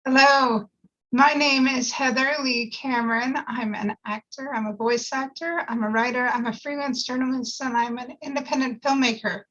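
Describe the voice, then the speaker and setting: Woman, higher voice, dull call audio. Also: fairly even intonation, moderate pace, fairly bright tone. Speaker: actor and writer. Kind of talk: self-introduction. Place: indoors, on a video call.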